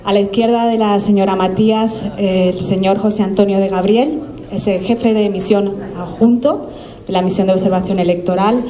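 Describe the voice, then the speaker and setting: A woman acting as press officer, formally introducing the speakers at a press conference in a measured way with small hesitations. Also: mid-range voice, fairly even intonation, warm tone.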